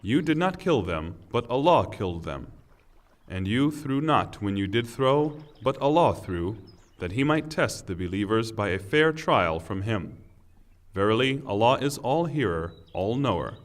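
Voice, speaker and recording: A man giving a solemn, scripted reading of scripture in a measured, declamatory manner. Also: on the low side, very animated. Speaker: reader of scripture translation.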